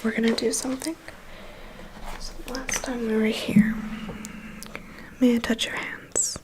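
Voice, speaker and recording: Female ASMR roleplayer, vampire's assistant: whispered, very unhurried, gently attentive, reassuring. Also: on the high side, level tone, low-quality recording. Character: vampire's assistant doing a gentle, methodical medical inspection.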